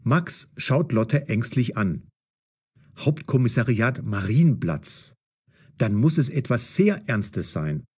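A man's voice: mid-range voice, big pitch swings, slow, a little mellow, breathy edge. A man narrating a scripted story as the narrator of an audio drama.

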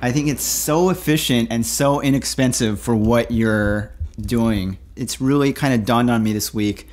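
A man's voice: medium pitch, unhurried, darkish tone. A man in his thirties chatting casually in a weekly vlog, calling his viewers "my friends", recorded on a handheld mic in a small apartment. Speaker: vlogger. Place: small apartment room, indoors. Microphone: handheld shotgun mic on boom cable.

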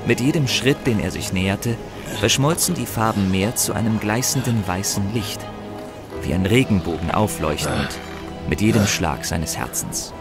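A man narrating a fantasy audio drama in a measured, epic storytelling manner. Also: on the low side. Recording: loud music bed under the voice.

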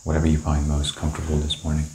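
A man leading a guided meditation, giving calm, gentle, unhurried instructions with long pauses. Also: rumbling low, a little hiss or hum. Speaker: meditation teacher. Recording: roomy, echoing indoor sound.

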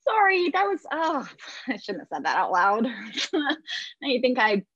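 An awkward, lonely new mother in a stage comedy, high and very animated. She is eager and apologetic, tripping over herself in a husky voice, on slightly muffled video call audio. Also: dry recording, exaggerated voice. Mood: nervous, needy. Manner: nervous, gushing, halting self-corrections. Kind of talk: comedic play reading, naturalistic.

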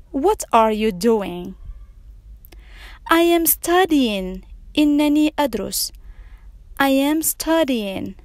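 A woman with a slightly high voice leads a beginner English lesson. She says each phrase slowly and clearly, often twice, then explains it briskly. The sound is dry and close, with no room around it. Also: no echo. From Morocco. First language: Arabic. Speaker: English teacher. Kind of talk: slow, repeated beginner language lesson.

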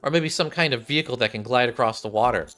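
A man's voice: mid-range voice, fast, fairly bright tone. Young man reading game dialogue over music. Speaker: game streamer reading visual novel dialogue aloud. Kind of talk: read-aloud of game dialogue. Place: small indoor room.

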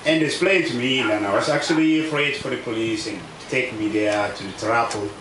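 A man in his thirties from South Sudan, speaking halting non-native English with many fillers as he gives a personal account outdoors under a tent. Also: mid-range voice. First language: a South Sudanese language. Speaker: refugee speaker. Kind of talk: personal testimony at a public event. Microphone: table microphone on stand. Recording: echoey, distant outdoor recording with noise.

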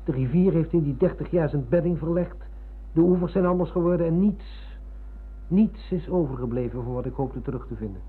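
Nostalgic middle-aged man, polite, earnest, slightly high husky voice. Radio drama, phone-like band. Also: fairly quick. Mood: wistful, insistent. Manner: earnest, polite, dwelling on words. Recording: narrow phone-like old radio recording.

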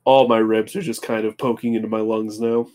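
A man's voice: fairly low pitch, little pitch movement, fairly quick, darkish tone. A man playing a tabletop game, sardonic and self-mocking, swearing casually. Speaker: player.

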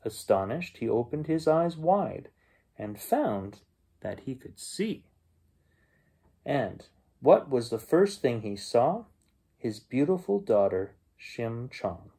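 A middle-aged man reads a children's bedtime story aloud as its host, with expressive storytelling. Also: mid-range voice, slow pace, husky. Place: small bedroom at home, indoors.

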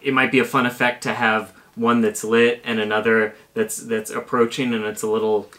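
A man in his thirties presents a how-to in a conversational way. His voice is medium in pitch, picked up a short distance away in a home kitchen. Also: level tone, normal speed, fairly bright tone. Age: 30s. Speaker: puppetry presenter. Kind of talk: conversational instructional video.

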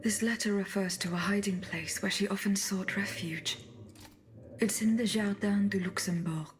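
A woman voicing a courteous female automaton bodyguard in a video game, speaking in a measured, formal and restrained way. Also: medium pitch, fairly even intonation, natural voice. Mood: composed, formal. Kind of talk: video game cutscene acting.

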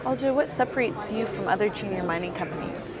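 A woman's voice: mid-range voice, fast. A woman in her thirties asking questions as an interviewer in a business interview. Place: indoor booth at a trade conference. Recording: busy event hall chatter behind.

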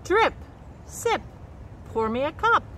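A middle-aged woman reading a picture book aloud to young children in an expressive, carefully enunciated way, recorded outdoors. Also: very high voice, takes it slowly. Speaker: storybook reader and nature educator. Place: outdoors by a woodland creek. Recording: outdoor background noise.

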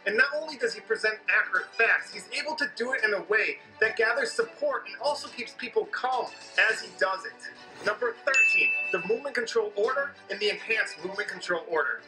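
A man narrating an online explainer video in an energetic, emphatic way, played back from the video. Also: sits high, brisk, low-quality recording. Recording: played through speakers with background music.

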